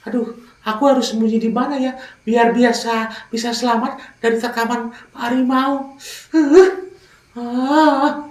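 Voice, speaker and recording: A man's voice: very high-pitched, steady tone, measured pace, echoey room, far from the mic, voice pushed up. A man voicing a small, clever mouse deer in a children's fable, wheedling and childlike. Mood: worried.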